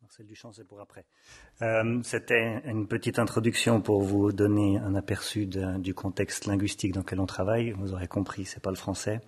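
A man sits at a desk on a lecture-hall stage, talking into a desk microphone. He speaks haltingly, filling gaps with "euh" while he searches for the right terms. His voice sits low and has a husky edge. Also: level tone. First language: French. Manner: hesitant, often searching for words with many fillers. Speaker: graphic designer giving a lecture. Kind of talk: conference talk.

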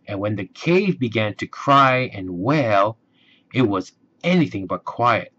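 A man reading a children's bedtime story aloud with deliberate, gentle, dramatic emphasis. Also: fairly low pitch, expressive, breathy edge, lo-fi sound. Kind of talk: expressive bedtime story narration.

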